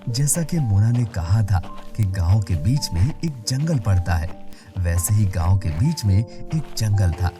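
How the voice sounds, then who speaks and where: A woman's voice: extremely low pitch, expressive, unhurried, over music. A woman narrating a scripted children's moral story as the storyteller.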